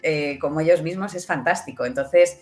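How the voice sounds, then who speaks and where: A woman's voice: low voice, normal speed, a little mellow, soft background music. A woman giving chatty, emphatic answers as an interview guest, repeating herself for stress. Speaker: guest, audio fiction producer.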